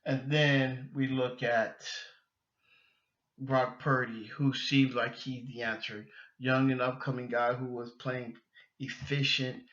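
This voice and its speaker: A man's voice: mid-range voice, little pitch movement, slow pace, a bit bright, dull audio. A man hosting a sports podcast, giving casual, opinionated fan talk in a rambling way, often restarting and repeating his phrases.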